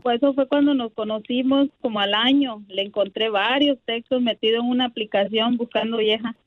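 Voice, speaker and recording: A woman's voice: a little high, steady tone, a little fast, narrow, tinny audio, background noise. A woman from Honduras, a radio guest who tells her stories candidly and laughs easily. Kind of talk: casual chat.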